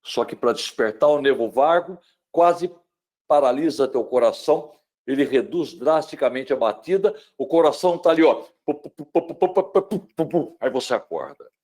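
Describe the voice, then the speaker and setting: Middle-aged Brazilian male lecturer; dramatic webinar delivery with rhetorical questions and sharp shifts between loud and hushed. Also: a little high, animated, normal speed. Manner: asks the listener rhetorical questions. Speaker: speaker on mental wellbeing.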